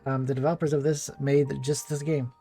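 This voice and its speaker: A man's voice: mid-range voice, little pitch movement, a little fast, warm tone, soft background music. A man giving casual let's play commentary as host, rambling along in a laid-back, hesitant way. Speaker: gaming video host.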